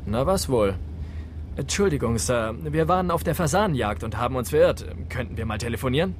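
An actor playing a brash young ex-convict mechanic in a radio drama, talking in blunt, offhand slang. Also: male voice, mid-range voice, animated, a little fast, slight background noise, lightly acted. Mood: cocky. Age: young adult.

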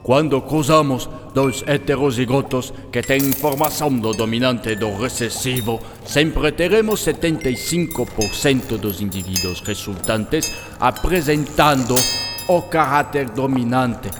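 A man's voice: medium pitch, measured pace, close to an everyday voice. Man acting as eccentric scientist, German accent, patient enthusiastic teacher, radio play, long reverb, music underneath. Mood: patient explaining. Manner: didactic, peppers speech with German words. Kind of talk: scripted radio drama dialogue.

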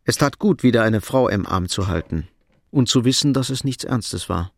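A man narrating a radio drama in a measured, understated, literary style. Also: lowish voice, expressive, vocal fry.

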